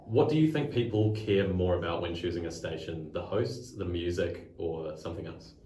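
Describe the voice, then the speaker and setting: A man's voice: sits low, normal speed, mellow, a little hiss or hum. A young man asking questions as a student interviewer in a podcast-style conversation. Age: young adult. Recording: roomy echo, distant from mic.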